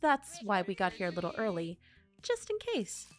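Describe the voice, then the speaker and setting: A woman's voice: mid-range voice, huge pitch swings, normal speed, faint music behind. A woman playing a teasing, supportive friend in a naturalistic audio drama. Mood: reassuring. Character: playful, supportive girlfriend and friend in costume.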